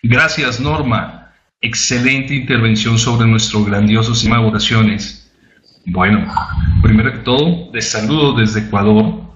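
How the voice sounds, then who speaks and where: A man's voice: mid-range voice, fairly bright tone. A man from Colombia leading an online company presentation, upbeat and rallying, talking to the chat. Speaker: corporate department head. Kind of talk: webinar presentation. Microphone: computer mic on a web conference. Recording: roomy, dull web conference audio.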